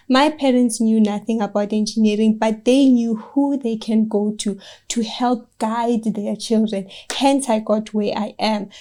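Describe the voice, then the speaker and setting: A woman's voice: fairly high pitch, mellow. A young woman, a project engineer, answering interview questions in an earnest and encouraging way. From South Africa. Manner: earnest, encouraging, gives direct advice.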